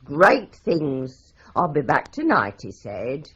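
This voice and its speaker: A talkative older landlady who runs on with stern advice. Her voice is breathy and swoops up and down in pitch. The broadcast recording sounds dull and lo-fi. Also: slow, exaggerated voice. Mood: chatty. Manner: chatty, lively, runs on with advice. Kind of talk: radio drama acting.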